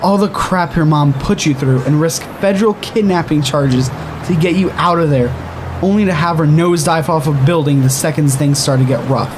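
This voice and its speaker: A man's voice: on the high side, moderate pace, noisy background, natural voice. An actor playing an anxious, protective male friend in a horror audio drama, speaking in an urgent, coaxing, emotional way. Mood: pleading. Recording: music behind the voice.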